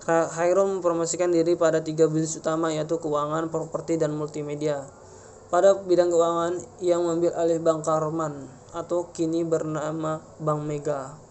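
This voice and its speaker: A young man, a student reading a prepared presentation aloud in a halting way, stumbling over and repeating words. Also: a little high, flat delivery, darkish tone, slight background noise. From Indonesia. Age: young adult. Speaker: university student giving a presentation. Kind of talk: scripted narration read aloud. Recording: music playing behind the voice.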